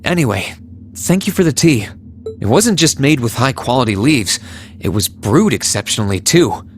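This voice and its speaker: Actor as earnest young hero, lively intonation. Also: male voice, mid-range voice, moderate pace, soft background music, close to an everyday voice. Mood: polite, surprised. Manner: polite, hesitant, earnest. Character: polite, earnest young military student, modest and cautious. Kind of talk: video game voice acting.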